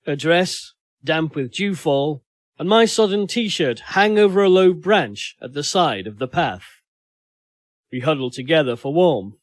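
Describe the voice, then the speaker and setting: A man reading a literary short story aloud as an audiobook narrator, in a measured, expressive storytelling manner. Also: a little high, no room sound.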